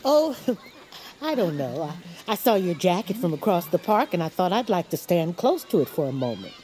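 A woman playing a sweet, flustered, admiring lady creature with a soft husky voice and halting, fluttery manner in a cartoonish audio drama. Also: fairly low pitch, big pitch swings. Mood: smitten, flustered. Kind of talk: cartoonish audio fiction acting.

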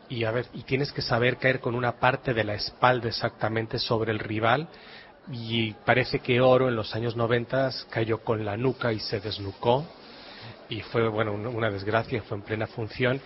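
A man's voice: mid-range voice, little pitch movement, normal speed. A man from Galicia, a novelist, answering interview questions in a reflective, literary way with dry humor. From Galicia, Spain. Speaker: novelist guest. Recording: dull lo-fi radio sound.

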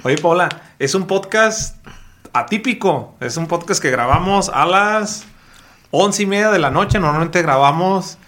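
A man's voice: sits high, lively intonation, measured pace, a bit bright. Chatty man hosting a podcast. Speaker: podcast host. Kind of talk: casual interview.

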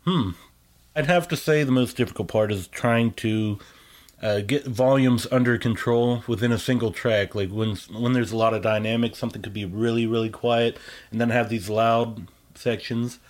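A man's voice: mid-range voice, steady tone, moderate pace. A man chatting casually as an interviewed sound engineer, explaining things in a rambling, colloquial way.

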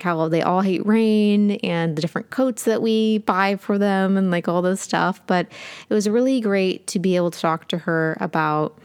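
A woman's voice: mid-range voice, darkish tone, clean tone. A woman co-hosting a podcast, chatting casually and enthusiastically about books with many fillers. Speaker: podcast co-host. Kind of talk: casual book chat.

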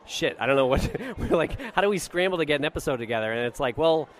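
A man's voice: fairly high pitch, animated. A man co-hosting a podcast, chatting casually at a convention booth and laughing often. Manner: laughs often, quick interjections. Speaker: podcast co-host. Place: busy convention floor booth.

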